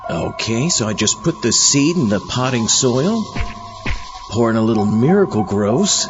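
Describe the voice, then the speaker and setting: Man as a very animated zombie horror host. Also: medium pitch, dull audio, natural voice. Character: theatrical, tongue-in-cheek zombie astronaut horror host. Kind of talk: scripted comic horror narration.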